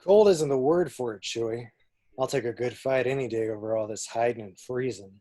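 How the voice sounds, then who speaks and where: A man reading the part of a cocky, gruff smuggler captain in an amateur table read. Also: mid-range voice, a little fast, warm tone, lightly acted. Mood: grumbling, brisk.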